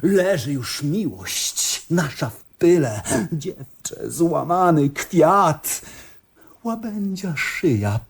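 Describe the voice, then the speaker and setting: Radio play casting: an absent-minded, theatrical poet, raised pitch, unhurried drawn-out pace, rambling and dreamy. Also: male voice, sing-song, breathy edge, full character voice. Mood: declaiming. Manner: theatrical, rambling, head in the clouds. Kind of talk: radio drama acting.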